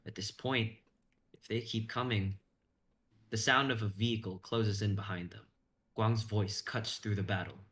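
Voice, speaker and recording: Young guy w a lowish voice reading out a story, intense and suspenseful. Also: steady tone, normal speed, a bit bright. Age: young adult. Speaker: stream host and narrator. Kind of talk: dramatic reading of narration.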